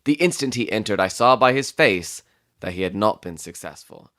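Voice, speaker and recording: A man playing an observant, composed Victorian gentleman who narrates a detective story in a measured, literary way. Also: lowish voice, animated, dry recording, clean studio sound. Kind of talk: dramatic audiobook narration.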